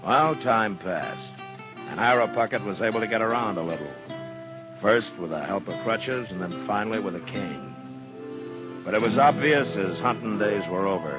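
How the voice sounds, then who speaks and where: A steady Western lawman, played by a man, with a low voice and laconic, understated delivery. The old radio drama sound is muffled, with clear music in the background. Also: moderate pace, lightly acted. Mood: reflective. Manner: understated, plain-spoken. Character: steady, laconic male frontier marshal. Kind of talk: 1950s radio western acting and narration. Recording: dull old radio recording, music behind.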